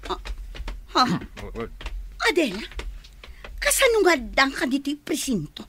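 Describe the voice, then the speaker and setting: Scheming bossy lady villain, radio drama, high voice, super animated. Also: measured pace, a little hiss or hum, heavily acted. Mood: startled.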